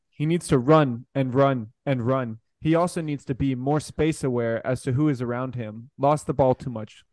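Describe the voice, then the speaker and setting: A man's voice: medium pitch, a little mellow, dry recording. A man co-hosting a casual podcast, chatting and reading fan posts aloud. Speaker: podcast co-host.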